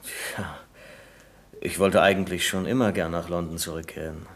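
An actor playing a reserved, politely stubborn bank deputy in a German-language radio play, measured and hesitant but firm. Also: male voice, low voice, steady tone, close to an everyday voice. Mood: hesitant, guarded. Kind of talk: 1970s German-language radio drama acting.

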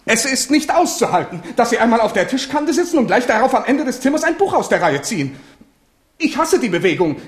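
A man's voice: very high-pitched, sing-song, fairly quick. An actor in a German radio play playing a capricious, wounded French king, swinging from theatrical outbursts to plaintive murmurs. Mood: irritated, haughty.

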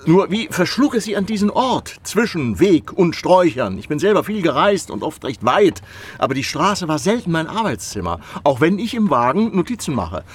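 Nosy old privy councillor, theatrical, voice swings all over, higher pitched, radio play. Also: male voice, very animated, normal speed. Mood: genial, probing. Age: older. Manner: theatrical, probing, with muttered asides. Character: elderly inquisitive privy councillor, gentleman traveller of wine and carriages. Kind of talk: German radio play acting.

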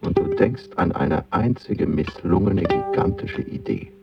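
An actor playing a weary, idealistic artist speaking his introspective inner diary in a literary radio play, in measured poetic phrases. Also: sits low, lively intonation, gravelly, distant mic, highs cut off, loud music behind the voice, exaggerated voice.

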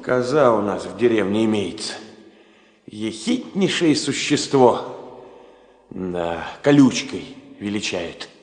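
Lively, teasing Soviet radio-play sweetheart. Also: male voice, lowish voice, slow pace. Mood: tender teasing. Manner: playful, teasing, coaxing. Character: tender, bossy, teasing man courting his sweetheart. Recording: 1970 radio play, long reverb.

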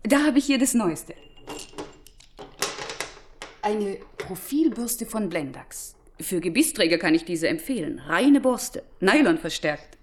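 A woman playing a practical village drugstore keeper in a radio play, with a brisk, businesslike way of talking. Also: medium pitch, sing-song. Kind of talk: naturalistic radio drama dialogue.

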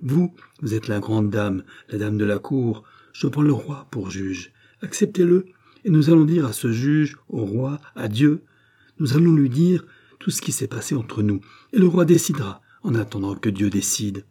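A man with a husky voice reading the part of an intense male doctor who forces his will on a countess, declaiming with rising fervour. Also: on the low side, unhurried, hardly any pauses, mellow. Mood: commanding. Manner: commanding, rising to fervent declamation.

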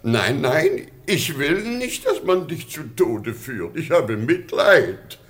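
Slow, fretful, fatherly emperor. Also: a little high, big pitch swings, fairly bright tone, full character voice. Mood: gently warning. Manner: ornate, pleading, sighing delivery. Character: aging fatherly Chinese emperor, pitying, fretful, pleading. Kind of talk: theatrical German radio play acting.

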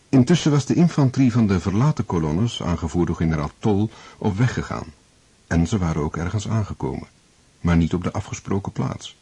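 A man narrating a historical war tale in a radio play, grave and measured in his storytelling. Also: low-pitched, dark tone, slightly rough, full character voice.

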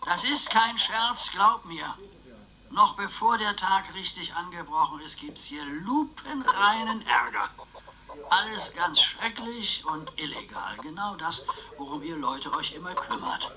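An actor playing an eccentric, playful man in his eighties in a radio crime drama, stubborn and scheming, with theatrical, drawn-out phrasing. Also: sits high, lively intonation, bright, forceful tone, exaggerated voice, low-quality recording. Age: 80s.

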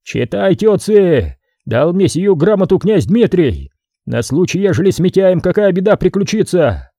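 A man's voice: on the high side, animated, normal speed, mellow, dry recording, clean studio sound, pitched above the performer's own voice. A man voicing a firm, commanding scheming priest. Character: ambitious, scheming male priest.

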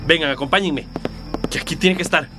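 An actor playing a gruff, bullying police chief who barks impatient orders and curses in a Latin American radio drama. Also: male voice, fairly high pitch, fairly quick, natural voice. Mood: suspicious, commanding. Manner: barking orders, cursing, impatient. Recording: music behind voice.

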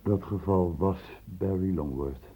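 An actor playing a stern, probing doctor in a Dutch radio drama, with measured, clinically precise questioning. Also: male voice, low-pitched, mellow, a little hiss or hum. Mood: grave, accusing. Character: probing, stern male doctor, calmly cornering a suspect. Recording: muffled phone-like old radio recording.

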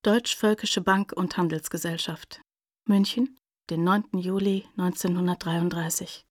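An actress playing a formal announcer in a radio play, reading out letterheads, dates and addresses in a clipped, matter-of-fact way. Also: female voice, fairly low pitch, fairly even intonation, measured pace, darkish tone, no echo, clean studio sound. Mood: neutral, formal.

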